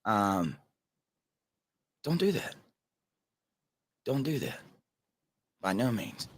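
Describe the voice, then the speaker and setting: A man hosts a casual livestream and talks in an earnest, rambling way, calling out his viewers by name. His voice sits a little low. The sound is dry and close, with no room echo. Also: big pitch swings, extremely slow. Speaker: livestream host reading viewer comments.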